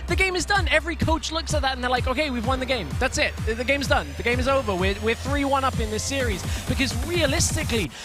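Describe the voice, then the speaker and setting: A man doing play-by-play esports commentary who gets excitable and shouts at big plays. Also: very high voice, fast talker, hardly any pauses, over music. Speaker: esports caster.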